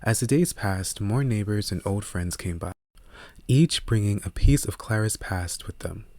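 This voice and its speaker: Male narrator, audiobook read, measured, earnest, lowish pitch, very dry close recording. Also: darkish tone, dry recording.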